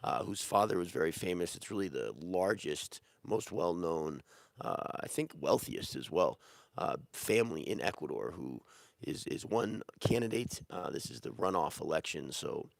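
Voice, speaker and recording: A man with a very low, gravelly voice, hoarse because he is sick. He answers questions in a relaxed, rambling way with plenty of fillers and restarts. The sound is dry and close. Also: moderate pace, no echo. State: sick, losing his voice. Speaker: real estate agent answering viewer questions. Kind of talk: casual Q&A livestream.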